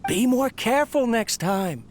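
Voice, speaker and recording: High-voiced, lonely inventor. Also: male voice, animated, unhurried. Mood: fond, fussing. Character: lonely, gentle male inventor talking to his robot. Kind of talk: video game character acting. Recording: noisy tape-playback recording with ambience.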